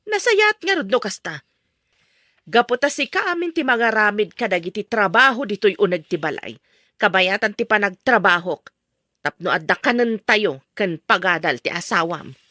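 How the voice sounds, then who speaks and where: A woman's voice: medium pitch, animated, normal speed, bright, forceful tone, no room sound, clean studio sound. An actress playing a stern, hurt middle-aged mother in a radio drama, emphatic and reproachful with her young son. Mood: stern, insistent.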